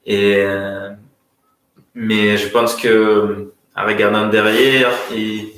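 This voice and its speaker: A man from Russia with a Russian accent speaks French as a guest economist at a conference, often pausing to search for words. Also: lowish voice, flat delivery, fairly bright tone, slight background noise. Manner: hesitant, searching for words, many fillers and restarts. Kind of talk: conference talk. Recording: very echoey room.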